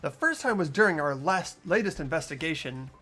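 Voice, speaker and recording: A man's voice: a little high, expressive, brisk, bright, forceful tone, faint music behind. A man playing an earnest, determined teenage boy detective in an amateur video game dub, insistent and accusatory.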